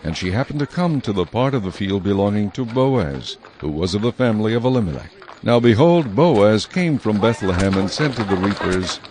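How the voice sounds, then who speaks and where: A man reading scripted Bible narration in a measured, solemn manner. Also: lowish voice, expressive, darkish tone, slight background noise.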